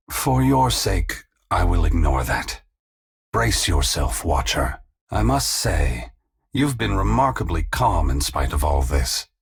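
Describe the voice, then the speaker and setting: A man voicing an ancient, solemn and resolute god in a video game, speaking in a gravelly, husky, grave and measured oratorical way. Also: low voice, heavily acted. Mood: gentle, grave. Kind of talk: scripted dramatic game dialogue.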